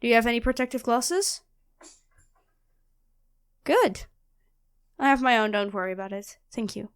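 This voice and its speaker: Woman acting high-voiced, nerdy, flustered female student. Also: normal speed, smooth, close to an everyday voice. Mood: amazed, eager. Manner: expressive, flustered, rambling, self-correcting. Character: nerdy, chatty, apologetic young female astrology student. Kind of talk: audio roleplay acting addressed to the listener.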